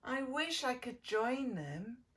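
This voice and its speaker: A young storybook bird, a lonely little outcast, voiced by a woman reading aloud. He speaks in a high, plaintive, wistful way, a little distant from the mic. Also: sing-song, takes it slowly, a bit bright, heavily acted. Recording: distant microphone.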